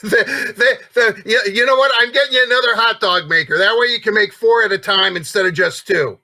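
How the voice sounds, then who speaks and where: Very bright, sharp-voiced man bantering. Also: high voice, fairly quick. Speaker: sports show co-host. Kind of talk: casual, joking banter.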